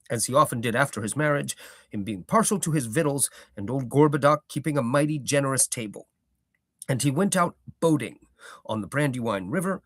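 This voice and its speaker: A man's voice: mid-range voice, no room sound, studio quality, close to an everyday voice. A man voicing a garrulous old male hobbit gardener who rambles in a folksy, opinionated way.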